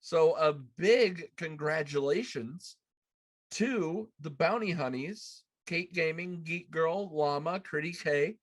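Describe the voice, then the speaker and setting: Guy in his 30s hosting a casual livestream podcast, voice a bit on the high side. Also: takes it slowly. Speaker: podcast host. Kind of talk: casual chat on a live show. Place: small room at home, indoors.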